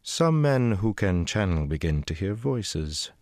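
A man voicing an older woman sorceress who speaks slowly, in a measured and unshakably composed way. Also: fairly low pitch, very animated, dry recording, studio quality, pitched above the performer's own voice. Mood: calm.

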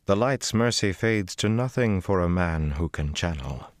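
Man doing a composed elderly sorceress, raised voice, slow deliberate words, dry close sound. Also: fairly low pitch, very animated, no room sound, voice pushed up. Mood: calm. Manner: slow, measured, unshakably composed. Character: older woman sorceress, calm, imperious.

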